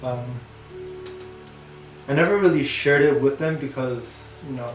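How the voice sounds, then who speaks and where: A young man whose first language is English and second is Korean, speaking as an interview guest with many fillers, halting and soft about painful memories. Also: mid-range voice, roomy sound, distant mic, faint music behind, rough recording. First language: Korean is his second language; English first. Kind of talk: candid personal interview.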